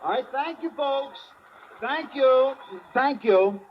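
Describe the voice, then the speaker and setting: A muffled, hissy vintage radio drama recording carries an excitable vaudeville showman. The voice rides high and swings widely as it announces grandly and fumbles through stage patter. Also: slow, full character voice. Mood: flustered, pleased. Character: excitable old vaudeville showman announcing his singing mouse act. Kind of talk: 1950s radio drama acting.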